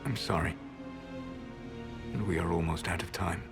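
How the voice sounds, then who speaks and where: An actor playing a weary, regretful but resolute ancient mage in a video game, speaking in a grave, measured, formal way. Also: male voice, deep voice, creaky. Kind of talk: dramatic video game acting. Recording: loud orchestral game music behind.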